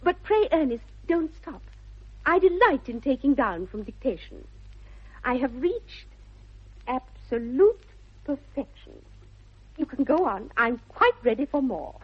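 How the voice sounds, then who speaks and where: A dull vintage radio recording of a young Victorian lady with a British accent. Her voice is very high and a little husky. She speaks precisely and with great earnestness, dreamy and headstrong, in the manner of a 1960s comedy of manners. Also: sing-song, a little slow, long pauses, heavily acted. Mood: prim, eager. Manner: precise, earnest, affected delivery.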